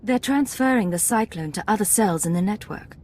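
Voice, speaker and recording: A woman's voice: mid-range voice, animated, fairly quick, a little mellow, slight background noise, natural voice. An actress playing a cool, wry female secret agent in a video game, with a controlled, dry and confident delivery. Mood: cool, questioning.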